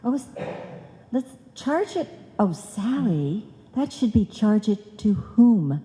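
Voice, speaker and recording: A woman's voice: fairly high pitch, sing-song, slow, warm tone. An actress playing a scatterbrained, bossy married woman full of muddled logic and malapropisms in a live old-time radio comedy. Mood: breezy, scheming. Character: scatterbrained, bossy married woman hatching a money-making scheme. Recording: live hall recording, long reverb.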